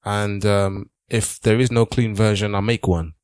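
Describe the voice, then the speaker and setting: A man hosting a casual group podcast chat, posing questions in halting, hesitant phrases. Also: on the low side, level tone, darkish tone, smooth, no room sound, clean studio sound. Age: adult, parent of a daughter. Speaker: podcast host.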